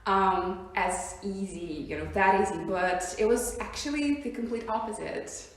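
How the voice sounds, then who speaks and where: A woman's voice: medium pitch, unhurried. A young woman from Siberia with a Russian accent, chatting as a podcast guest, hesitant with many ums and frequent laughs. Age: young adult. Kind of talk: casual interview chat. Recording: very echoey room.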